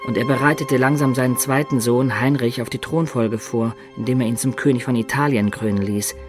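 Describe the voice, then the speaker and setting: An actor playing a wistful adventurer who recounts his life in a German radio play, in a measured, expressive storyteller's manner. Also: mid-range voice, soft background music, close to an everyday voice. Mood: reflective. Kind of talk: dramatic first-person narration in German radio play.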